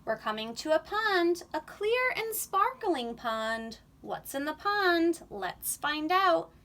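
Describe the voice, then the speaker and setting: A woman reading a picture book aloud to young children in an animated, sing-song, expressive way. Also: extremely high pitch, slow pace.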